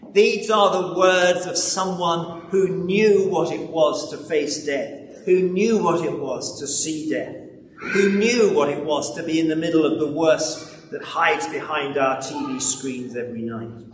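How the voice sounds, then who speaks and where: An elderly man preaches in a large, echoing church, picked up from a distance. His delivery is very slow and solemn, with weighty pauses and deliberate repetition. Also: on the high side. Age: 70s. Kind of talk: sermon with scripture reading. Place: large church interior, standing at a lectern. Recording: echoey hall, distant mic, background noise.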